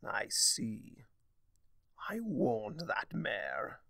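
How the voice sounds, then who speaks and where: Man voicing a sly theatrical chaos spirit with creaky drawl. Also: mid-range voice, huge pitch swings, drawn-out words, pushed and bright, dry recording, studio quality, exaggerated voice. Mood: brooding, sly.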